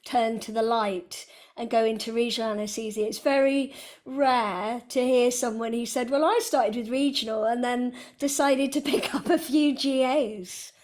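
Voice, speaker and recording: A woman's voice: on the high side, fairly even intonation, moderate pace, a little mellow. A woman hosting a casual interview podcast, upbeat and chatty. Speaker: podcast host.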